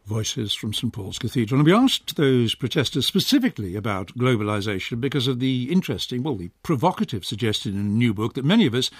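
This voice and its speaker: A man hosts a talk radio show from a studio, speaking in a measured, articulate way. His intonation rises and falls freely. The sound is close and dry, with no room echo. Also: mid-range voice, big pitch swings, husky. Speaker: radio host. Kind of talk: scripted radio presenting and interviewing. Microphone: studio microphone.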